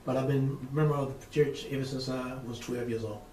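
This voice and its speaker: A man of seventy answering oral history interview questions in a measured way, with frequent pauses and fillers. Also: medium pitch, fairly even intonation, warm tone, recorded from a distance. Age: 70s. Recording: roomy echo, faint noise.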